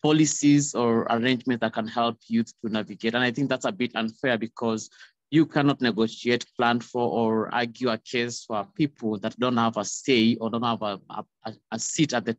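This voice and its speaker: Measured man on a muffled call. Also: mid-range voice, steady tone, no room sound. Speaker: youth panelist. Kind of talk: discussion answer on video call. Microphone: video call, close device. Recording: dull, lo-fi video call audio.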